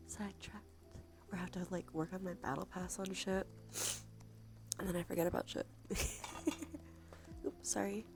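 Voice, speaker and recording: Female ASMR livestream host: hushed, often whispered, gravelly fry, congested from allergies, informal and affectionate, faint music underneath. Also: medium pitch. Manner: breathy, frequent drops into whisper; casual, sweary, affectionate. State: sniffly from allergies; sore, tired throat.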